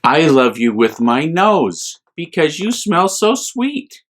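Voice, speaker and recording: A man's voice: fairly high pitch, sing-song, takes it slowly, slight background noise, voice pushed up. A man voicing a loving mother polar bear in a children's storybook, affectionate and reassuring. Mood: tender.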